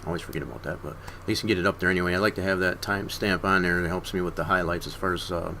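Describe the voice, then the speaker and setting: A man streaming his 3D modeling work, talking through each step in an unhurried way with many fillers, hoarse and often clearing his throat. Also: low-pitched, steady tone, pushed and bright, slight background noise. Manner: unhurried, filler-heavy thinking aloud. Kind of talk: casual screen-share tutorial.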